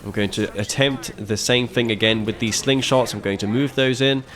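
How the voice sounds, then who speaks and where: A young man giving casual, animated play-by-play commentary on a strategy match he played, with frequent fillers and restarts. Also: mid-range voice, moderate pace. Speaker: video game caster commentating his own match.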